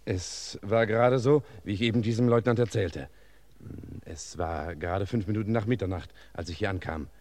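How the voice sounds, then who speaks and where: An actor playing a long-winded, self-important cook giving a hesitant witness account in a German radio crime play. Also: male voice, fairly low pitch, normal speed, darkish tone, lightly acted. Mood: rambling. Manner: rambling, circumstantial, hesitant.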